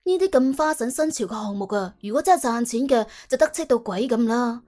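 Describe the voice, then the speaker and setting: A woman's voice: a little high, lively intonation, a little fast, warm tone, natural voice. Woman acting a wry, streetwise young woman. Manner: colloquial, sardonic, exclamatory, argues with rhetorical questions. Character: wry, streetwise young woman in debt collection, world-weary. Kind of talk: audio drama character acting.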